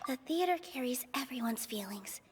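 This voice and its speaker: An actress playing a gentle but determined young female theater performer, speaking earnestly and carefully in video game dialogue. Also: high voice, expressive, moderate pace, noisy background. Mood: thoughtful, hushed.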